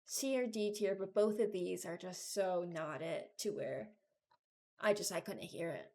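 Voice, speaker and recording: A woman's voice: lowish voice, a little slow, gravelly, darkish tone. Rambling young woman, casual commentary. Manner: rambling, thinking aloud. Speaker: video creator and gamer.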